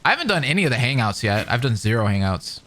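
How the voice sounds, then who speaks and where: A man giving casual, expressive live commentary as a streamer playing a video game, thinking aloud with exclamations. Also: mid-range voice, quick pace. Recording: game music behind the voice.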